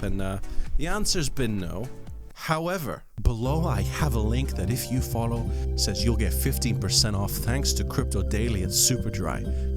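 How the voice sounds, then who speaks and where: A man from London with a mixed English, Irish and American accent, presenting scripted vlog commentary with rhetorical questions and deliberate pauses. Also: medium pitch. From London, England. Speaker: host of a crypto video channel.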